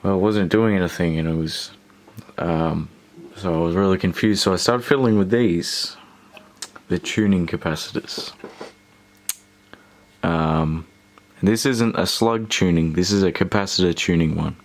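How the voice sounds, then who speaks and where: A man's voice: low-pitched, a little slow. A man talking casually through a hands-on repair video, hesitant and thinking aloud as he goes. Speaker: host of a radio repair video. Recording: clear background noise with electrical hum.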